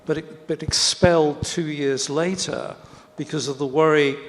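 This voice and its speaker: A man moderating a panel discussion, thinking aloud in a halting way with many restarts. He is recorded in a lecture hall. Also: fairly high pitch, steady tone. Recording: reverberant hall.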